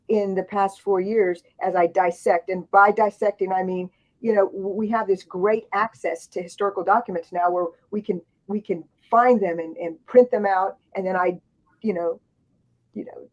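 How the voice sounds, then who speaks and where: A woman's voice: medium pitch, a little mellow. A woman author on a book panel, telling stories in a rambling way, with many restarts and asides. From grew up in Thailand and Japan. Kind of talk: casual panel storytelling. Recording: dull lo-fi sound.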